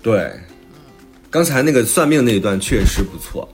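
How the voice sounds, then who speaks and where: A man's voice: medium pitch, expressive, moderate pace, soft background music. A man talking casually and plainly as an author and host on a podcast, repeating phrases for emphasis.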